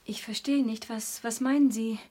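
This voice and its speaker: An actress playing a naive, eager young art student in love in a radio drama, hesitantly searching for words. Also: female voice, fairly high pitch, fairly even intonation, moderate pace, warm tone, breathy edge, soft background music. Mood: defiant, confused.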